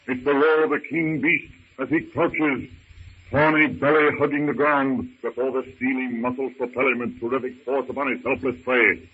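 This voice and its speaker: A man narrating in a dramatic 1930s radio style, with intense, suspenseful, clipped phrasing. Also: mid-range voice. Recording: tinny, hissy old radio recording.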